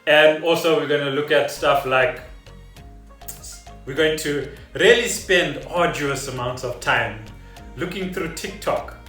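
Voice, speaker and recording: A man's voice: a little high, a little slow, a bit bright, faint music behind. Man chatting in an echoey room. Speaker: podcast co-host. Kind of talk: casual unscripted chat. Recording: roomy echo, distant from mic.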